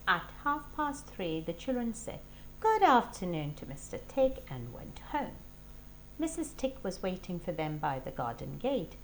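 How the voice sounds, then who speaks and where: Woman, storybook narration, very lively pitch, unhurried slow pace, deliberate and expressive, background noise. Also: rough recording. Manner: deliberate, expressive reading for young children. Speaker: teacher reading a storybook aloud.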